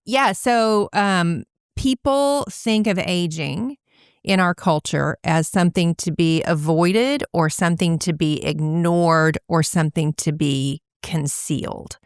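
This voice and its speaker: A woman's voice: lowish voice, takes it slowly, clean tone, dry recording, studio quality. A middle-aged woman, an author being interviewed in casual chat, articulate and thoughtful, speeding up as she explains. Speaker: author and guest.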